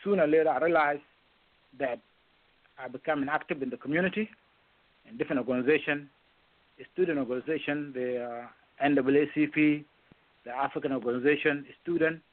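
A middle-aged man from South Sudan with a South Sudanese accent, a non-native English speaker giving a halting, earnest personal account as a radio interview guest. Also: fairly high pitch. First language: not English. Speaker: guest, presidential candidate. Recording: muffled phone-in line.

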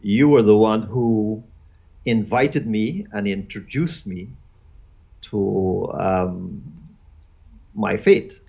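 A man in his fifties from Guyana, talking as an interview guest in a halting way with many fillers and restarts. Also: fairly low pitch, expressive, creaky, slightly rough. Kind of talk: casual interview chat. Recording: dull lo-fi audio.

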